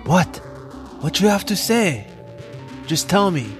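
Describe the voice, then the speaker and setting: A man's voice: high voice, lively intonation, slow pace, faint music behind, voice pushed up. A man voicing a distraught, grieving teenage boy, pressing with pleading questions. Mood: anxious, upset.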